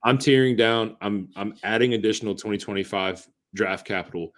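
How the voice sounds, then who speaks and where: Man, lowish voice, podcast co-host, emphatic, repeats himself to drive points home. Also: brisk. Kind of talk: casual sports chat.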